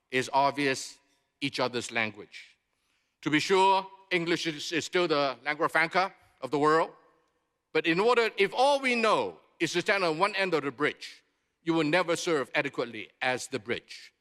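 A man in his sixties with a Cantonese accent, a native Cantonese speaker, giving a formal keynote speech in an emphatic, deliberate way with stressed pauses. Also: a little high, sharp tone. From southern China or Hong Kong. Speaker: conference speaker, education advocate. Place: large indoor conference hall, at a podium. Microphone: gooseneck podium microphone. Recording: reverberant large hall through PA.

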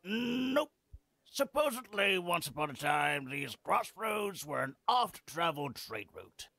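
An actor playing a cheeky, scruffy old veteran freeloader in a video game, with a raspy, drawling, theatrical delivery. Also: male voice, high voice, very animated, slow pace, bright, forceful tone, no echo, full character voice. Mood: gloomy storytelling. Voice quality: gravelly, raspy. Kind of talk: video game character acting.

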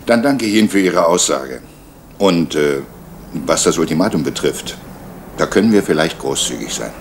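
An actor playing a calm, authoritative male police captain in a radio crime drama. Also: rumbling low, moderate pace, slight background noise.

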